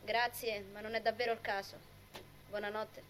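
A woman playing a considerate, laid-back young friend in an amateur anime dub, gentle and understated. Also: mid-range voice, little pitch movement, normal speed, slight background noise, close to an everyday voice. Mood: calm, considerate.

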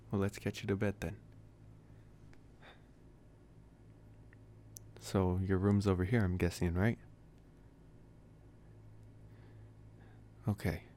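A man playing a tender, smitten young college vampire in an intimate ASMR roleplay, speaking softly and hesitantly with affectionate pauses. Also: sits low, slight background noise. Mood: gently teasing.

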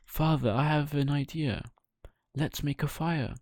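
A young wooden puppet boy from a storybook voiced by a man. He sounds naive, earnest and eager, with pitch lifted above the performer's own and a pleading way of speaking. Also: measured pace, darkish tone, dry recording. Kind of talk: children's storybook character voice.

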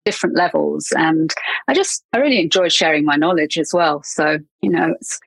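Husky English woman, chatty guest. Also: low voice, expressive, brisk, rough recording. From England. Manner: chatty, laughs often, trails off with fillers and restarts. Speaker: podcast guest, marketing consultant. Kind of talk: casual interview chat.

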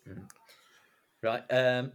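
A man's voice: medium pitch, steady tone, extremely slow, muffled recording, a little hiss or hum. A young man from England hosting a podcast, chatting casually and rambling with lots of fillers and restarts. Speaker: podcast host. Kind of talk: casual chat.

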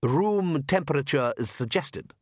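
A man's voice: on the high side, expressive, a little slow, no echo, studio quality, voice pushed up. Man playing a suave, drawling vampire count. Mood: calm, coaxing. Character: suave, cheerful, patronising aristocratic vampire father.

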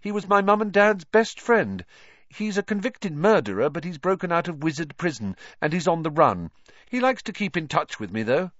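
A cheerful teenage boy wizard, as a man voices him, bright and breezy, pitched well above the reader's own voice. The delivery swings lively in pitch. The recording sounds dull and lo-fi. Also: moderate pace, dry recording.

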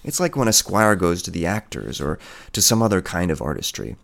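A man voicing a proud, indifferent peasant hunter in a storybook, gruff, dismissive and boastful. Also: low-pitched, big pitch swings, a little fast, fairly bright tone, heavily acted. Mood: proud, impatient.

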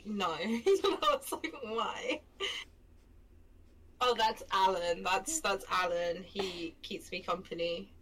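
A woman's voice: medium pitch, slow, fairly bright tone, poor audio. A young woman with a London accent, co-hosting a stream in casual chat, rambling and self-mocking, laughing often. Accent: London English. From England. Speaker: stream co-host.

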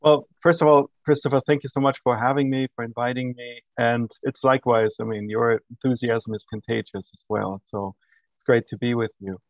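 Guest read: middle-aged Austrian-accented man, warm, measured, dry muffled recording. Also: mid-range voice, fairly even intonation, dry recording. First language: German. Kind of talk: casual interview chat. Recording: dull lo-fi audio.

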